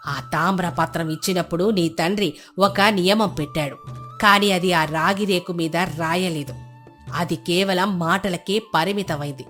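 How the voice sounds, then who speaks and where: A woman's voice: lowish voice, a bit bright. Serene, wise female sage played by a woman. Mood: calm, grave. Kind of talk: Telugu audio folk tale acting.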